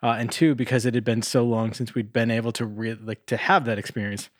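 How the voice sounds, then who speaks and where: A man's voice: mid-range voice, level tone, brisk, dry recording. Rambling man chatting on a dry mic. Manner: rambling, casually profane. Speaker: podcast co-host. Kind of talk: casual chat.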